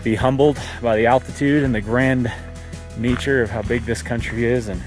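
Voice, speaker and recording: A man's voice: mid-range voice, fairly even intonation, normal speed, music in the background. A man in his thirties, a hiker, narrating a casual vlog outdoors. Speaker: hiker and vlogger. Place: outdoors in open mountains.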